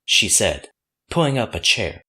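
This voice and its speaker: A man reads scripted fan fiction as an audiobook narrator. His pitch sits low, and it rises and falls in a lively way from phrase to phrase. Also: unhurried, breathy edge.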